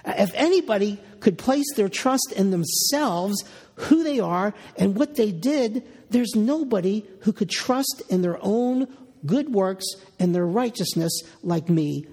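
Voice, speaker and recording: A man's voice: high voice, lively intonation, unhurried, a little mellow, in a large hall. A man preaching an expository Bible sermon, reading scripture aloud and then restating it in the first person.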